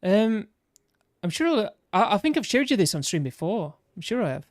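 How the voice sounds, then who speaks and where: A man's voice: high-pitched, very animated, normal speed, no room sound, studio quality. A man streaming a game, losing his voice, rambling and interrupting himself as he shows off his own builds. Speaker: gaming streamer. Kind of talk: casual commentary while showing his game builds.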